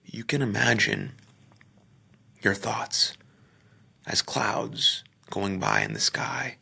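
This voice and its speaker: A man leading a guided meditation, speaking gently in short phrases with pauses and sometimes trailing off into a near-whisper. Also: lowish voice, wildly swooping pitch, vocal fry, breathy edge. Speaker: podcaster. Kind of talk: guided meditation, soft slow instruction.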